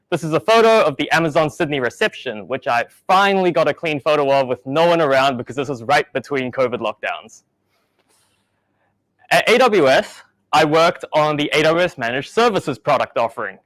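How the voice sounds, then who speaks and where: A young man raised in New Zealand giving a measured, explanatory tech talk at a meetup, with the odd dry joke. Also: a little high, a bit bright, dry recording, low-quality recording. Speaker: meetup speaker.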